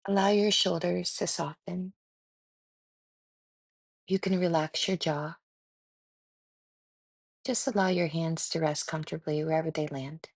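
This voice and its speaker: A woman leading a guided meditation in a gentle, soothing, unhurried way with pauses. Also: low voice, steady tone, no echo.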